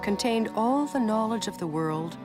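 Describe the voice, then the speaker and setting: A woman reading a scripted narration for an educational film, telling it in a measured storytelling way. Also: a little high, expressive, over music. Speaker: documentary narrator.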